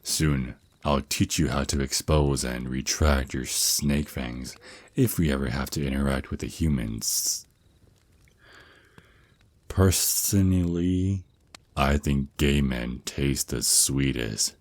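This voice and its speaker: A man's voice: rumbling low, takes it slowly, exaggerated voice. A man voicing an affectionate, protective vampire naga boyfriend in an intimate ASMR roleplay, mentoring his partner with slightly formal wording and small stammers. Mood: caring, teasing. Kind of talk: ASMR roleplay, intimate one-sided scripted dialogue.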